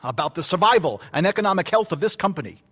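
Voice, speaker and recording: A man's voice: high voice, sing-song, fast talker, fairly bright tone, exaggerated voice. An actor playing a dry-humored, nervous male newspaper chairman in a radio drama. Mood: uneasy. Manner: dry, joking.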